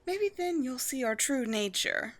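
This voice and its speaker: Woman playing a haughty, measured vampire queen. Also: high-pitched, big pitch swings, heavily acted. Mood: wry, commanding. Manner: formal, measured, haughty, teasing. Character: poised vampire queen, proud, wry, secretly gentle colony leader. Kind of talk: scripted roleplay audio drama.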